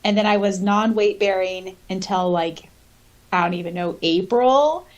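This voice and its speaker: A woman's voice: medium pitch, unhurried, dull audio. A woman co-hosting a podcast, talking informally with giggles and reading listener comments aloud. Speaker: podcast co-host.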